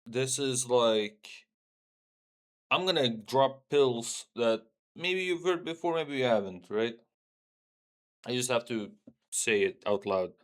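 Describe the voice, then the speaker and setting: A man's voice: mid-range voice, expressive, slow, pauses often. Blunt, casual young man streaming. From Syria. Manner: blunt, swearing, loose, repeats "right?". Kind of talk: casual livestream talk.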